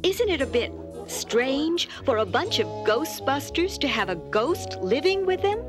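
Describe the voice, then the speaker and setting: An actress playing a brisk young TV news reporter in a cartoon, businesslike and easily exasperated. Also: female voice, high-pitched, big pitch swings, music in the background. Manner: polite but insistent, businesslike. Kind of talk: cartoon voice acting.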